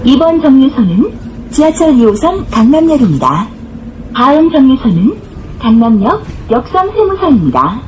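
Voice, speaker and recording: A woman's voice: high-pitched, very animated, normal speed, long pauses, a little mellow, slight background noise, low-quality recording. A woman reading scripted bus stop announcements in a formal, carefully enunciated way, recorded inside a bus. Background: bus interior ambience.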